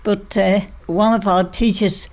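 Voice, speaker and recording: A woman's voice: medium pitch, steady tone, unhurried. A woman in her nineties with a Manx accent, casually reminiscing in a plain, emphatic way and repeating herself. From Isle of Man. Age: 90s. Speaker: interviewee recalling childhood.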